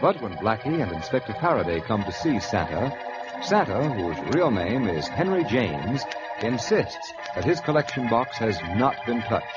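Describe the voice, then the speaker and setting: Animated old-time radio announcer man. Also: on the low side, normal speed. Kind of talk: 1940s radio scripted narration. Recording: dull, noisy old radio recording. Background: loud music.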